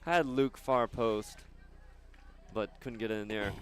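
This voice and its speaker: A man doing live soccer commentary outdoors, chatting casually and haltingly between plays. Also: mid-range voice, a bit bright, a little hiss or hum. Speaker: sports play-by-play announcer.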